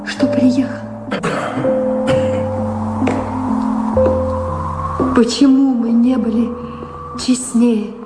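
A woman's voice: on the high side, fairly even intonation, slow pace. An actress playing an anguished middle-aged noblewoman in a radio drama, speaking lyrically and with emotional weight. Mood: aching regret. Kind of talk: theatrical radio drama acting. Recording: echoey hall reverb, music behind, radio play.

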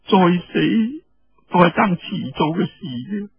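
A man voicing a distraught, lovelorn young woman in a Cantonese storytelling, plaintive and drawn-out, with a tearful edge. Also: sits high, expressive, vocal fry, husky, voice pushed up, exaggerated voice. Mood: despairing, sorrowful. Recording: dull lo-fi radio sound with room echo.

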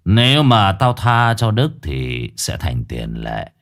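A man voicing a cold, ruthless middle-aged gang boss who speaks slowly and menacingly. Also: sits low, vocal fry, heavily acted. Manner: slow, menacing, icy calm.

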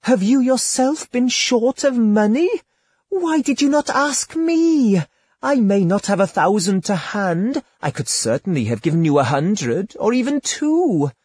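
A cunning young woman from an old storybook tale, pleading in a high, theatrical flutter, as a male narrator puts her on. Her voice is breathy and husky, recorded close and dry with no room around it. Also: darkish tone, no echo, heavily acted. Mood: gushing.

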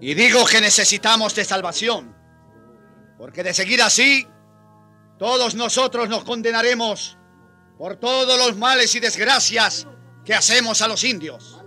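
Earnest high-voiced colonial priest. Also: male voice, measured pace, bright, forceful tone, faint music behind, full character voice. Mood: solemn, impassioned. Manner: earnest, preaching, solemn. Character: earnest colonial priest and landowner turned impassioned defender of natives. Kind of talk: radio drama acting.

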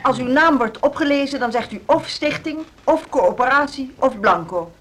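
Fairly high-voiced businesslike chairwoman. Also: lively intonation, fairly bright tone. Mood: formal, orderly. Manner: brisk, formal, orderly. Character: businesslike woman chairing a staff meeting. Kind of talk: naturalistic radio drama acting.

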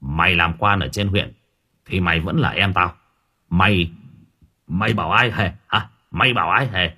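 A man's voice: low-pitched, normal speed, sharp tone, husky, full character voice. A man voicing a belligerent, drunken village man, a bullying older brother who blusters coarsely and repeats himself. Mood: menacing.

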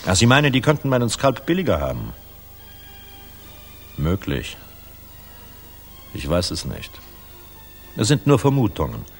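A very low male voice with faint music behind it: a worldly air force general in an old German radio play. He is cynical yet jovial, and talks in blunt, colloquial turns. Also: huge pitch swings, measured pace, pauses often, full character voice. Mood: grave, urgent. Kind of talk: 1960s German radio drama acting.

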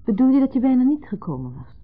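An actress playing an insistent, probing wife in a radio play, questioning directly and persistently. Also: female voice, sits high, big pitch swings, fast, faint music behind. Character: insistent, probing married woman hosting her former husband. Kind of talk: 1970s Dutch radio play acting. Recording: muffled, phone-like 1970s radio recording.

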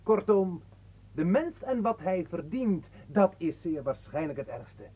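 A man's voice: high-pitched, big pitch swings, a little slow, slightly rough, full character voice. An actor playing an odd, dryly poetic male wanderer who speaks in cryptic pronouncements in a radio play. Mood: sententious. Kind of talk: absurdist radio play acting. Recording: muffled, narrow old radio recording.

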